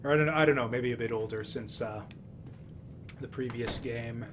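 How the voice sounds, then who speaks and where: A man gives offhand live commentary as he streams a game. He talks haltingly and thoughtfully in a mid-range voice, with clearly noisy audio behind him. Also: mid-range voice.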